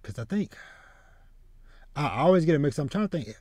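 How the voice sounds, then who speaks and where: A man in his thirties hosts a casual podcast in a halting way, doubling back on his words. The sound is close and dry, with no room on it. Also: on the high side, sing-song, warm tone, no room sound. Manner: halting, trailing, repeats words. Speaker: podcast host. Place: small home room with shelves, video call. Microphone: close desk mic.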